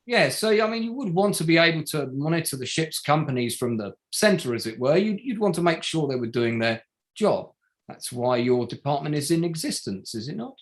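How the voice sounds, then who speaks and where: An actor playing a probing, sardonic barrister at a public inquiry in a play reading, formal and pointed, pressing with rhetorical questions. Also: male voice, on the high side, animated, fairly quick, lightly acted. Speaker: actor in an online table read. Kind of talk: courtroom-style cross-examination in a play reading.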